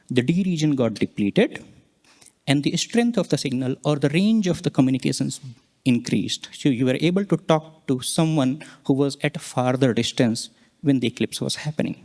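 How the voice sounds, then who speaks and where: A man presenting scientific results, explaining charts step by step and pausing mid-sentence to point things out. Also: a little high, a little mellow. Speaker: conference presenter, researcher.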